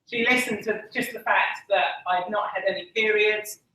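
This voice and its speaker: An Essex-accented woman tells her own story at a conference, candid and earnest, often pausing on "um" and slipping in wry jokes about herself. Her voice is bright and clear. It reaches the mic from some distance across a large, strongly echoing hall. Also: medium pitch, fairly even intonation. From Essex, England. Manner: candid and earnest, with frequent ums and self-deprecating asides. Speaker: conference speaker. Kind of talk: personal testimony speech. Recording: echoey hall from far off.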